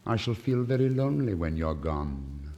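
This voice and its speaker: An old, kindly tree spirit from a storybook, acted out by a man, calls out in sorrowful, drawn-out pleas. The delivery is theatrical and urging, on a 1950s vinyl record with long reverb. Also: low-pitched, big pitch swings, warm tone, lo-fi sound, voice pushed down. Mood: sad, tender.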